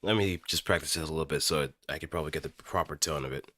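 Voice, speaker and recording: A man's voice: very deep voice, fast, bright, forceful tone, no echo. A man chatting casually and self-critically to himself while practicing voice acting on stream. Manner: self-critical, thinking aloud. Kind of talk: casual self-directed chat.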